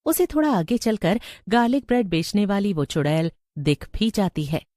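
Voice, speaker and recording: A woman's voice: medium pitch, sing-song, normal speed, mellow, no echo, studio quality. A woman narrating a scripted story as the storyteller.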